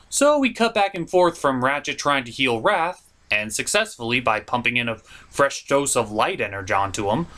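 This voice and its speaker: A man's voice: mid-range voice, bright, forceful tone. A man hosting a cartoon review show, delivering scripted comedic narration in a theatrical, jokey, emphatic way.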